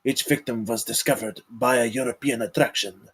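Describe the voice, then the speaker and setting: A man playing a grave, courtly count in a screenplay table read, speaking formally and with measured solemnity. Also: mid-range voice, fairly even intonation, a bit bright, breathy edge. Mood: grave, ominous. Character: commanding, grave, courtly count leading a supernatural hunt.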